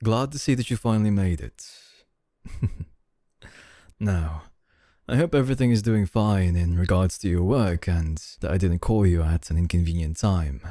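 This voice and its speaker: A male actor as a suave young boss in an ASMR roleplay, with a very dark, mellow, low voice. He speaks slowly and softly, calm and formal, with quiet dominance, recorded close and dry. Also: dry recording, natural voice. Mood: smooth, welcoming. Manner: slow, deliberate, smooth formal courtesy, soft chuckles.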